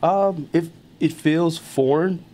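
A man, an army NCO born in Thailand and raised in America, talking, relaxed, chuckling often and filling gaps with "uh" and "um". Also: a little high, takes it slowly. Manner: easygoing, chuckles often, many fillers and restarts. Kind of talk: casual interview talk.

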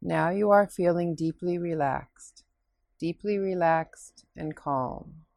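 A woman leading a scripted guided relaxation meditation in a soothing, unhurried, instructing way with pauses. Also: fairly low pitch, creaky, darkish tone, no echo, clean studio sound.

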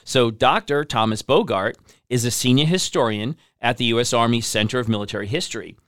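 A man's voice: mid-range voice, brisk, fairly bright tone, dry recording, clean studio sound. A man hosting an interview podcast, upbeat and engaged as he introduces and questions his guest. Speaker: podcast host. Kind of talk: hosted interview.

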